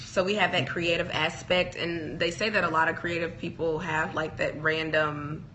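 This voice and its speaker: A woman's voice: low-pitched, steady tone, moderate pace, sharp tone, a little hiss or hum, poor audio. A young woman co-hosting a casual couple's chat show, rambling and playful with frequent giggles. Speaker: podcast co-host.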